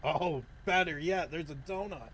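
A man's voice: on the high side, a little slow, fairly bright tone, a little hiss or hum. A man chatting casually with friends while they play a game, making teasing, laughing asides over voice chat. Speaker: roleplayer and gaming friend.

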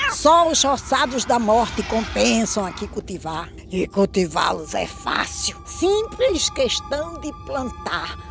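High, lively, shrewd village prayer woman. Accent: Brazilian. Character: stout, shrewd village prayer woman who profits from death. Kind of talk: verse drama in an animated film.

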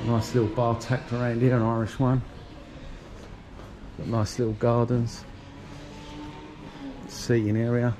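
A man giving a relaxed, chatty walking tour of an outdoor shopping plaza, talking while he walks with his camera. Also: mid-range voice, a little slow, pauses often. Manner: relaxed, chatty, pointing things out. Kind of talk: casual walking tour narration. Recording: noisy outdoor street recording.

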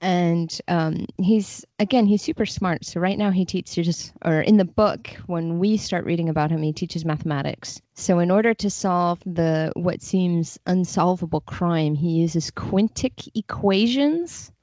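A woman with a slightly low voice talks as a guest on a book podcast. Her manner is informal and enthusiastic, full of casual slang. The recording is dry and free of room sound. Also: a little slow, hardly any pauses, mellow, no room sound. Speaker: podcast guest, literary translator. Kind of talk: casual chat about fiction.

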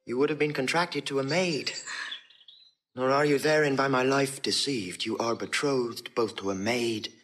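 A man playing an earnest young gentleman, a long-lost twin, in heartfelt, measured classical Shakespearean acting. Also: mid-range voice, a little hiss or hum, natural voice. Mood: gently amused.

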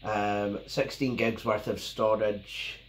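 A man's voice: lowish voice, flat delivery, slow pace. Scottish man, nasal from a cold. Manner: rambling, with many ums and restarts. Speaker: tech video host. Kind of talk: casual unboxing and review. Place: small home office, indoors. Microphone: camera mic at the desk. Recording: roomy, faintly noisy home recording.

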